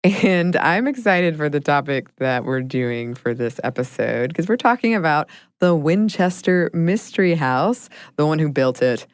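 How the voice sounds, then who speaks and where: A woman with a low voice co-hosts a podcast, chatting casually and laughing often. Her voice sometimes sinks into a quiet murmur. The recording is dry and close, with no room sound. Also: animated, unhurried, hardly any pauses, clear, resonant tone, no room sound. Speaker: podcast co-host.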